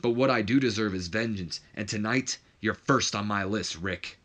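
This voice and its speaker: A man's voice: on the low side, normal speed, fairly bright tone, lightly acted. Man acting a determined, vengeful wrestler. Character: vengeful, determined male wrestler issuing a challenge.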